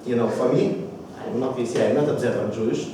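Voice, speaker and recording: A man's voice: medium pitch, mellow. Man with a Russian accent, echoey room. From Belarus. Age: 30s. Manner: halting, searching for words in a second language. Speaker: speaker at a public speaking club. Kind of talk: personal life story speech. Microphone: distant microphone. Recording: echoey room from far off, background noise.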